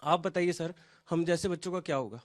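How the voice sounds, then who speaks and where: High-pitched, pleading young man. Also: brisk. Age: 20s. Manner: pleading, insistent, repeats himself. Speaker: job candidate interviewed by news. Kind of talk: impassioned spoken complaint to reporter.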